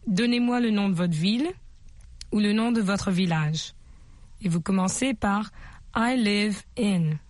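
A woman teaching a bilingual language lesson, with slow, patient, repetitive drilling of questions and model answers. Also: medium pitch. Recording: loud guitar music underneath.

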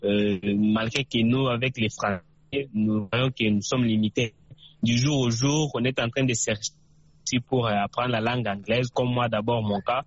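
A man from the DR Congo, a Tshiluba speaker, calling in to a radio show and giving his view slowly, with many hesitations and repeats. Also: mid-range voice, steady tone. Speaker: caller. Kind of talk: radio call-in opinion. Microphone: phone line. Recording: dull dry phone call on radio.

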